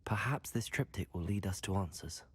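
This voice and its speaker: A courteous, curious teenage wizard in a video game. His voice is husky and lowish but moves around a lot, measured in manner. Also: lively intonation, no room sound, full character voice. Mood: polite, curious. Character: polite, curious teenage male wizard student. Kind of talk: video game cutscene acting.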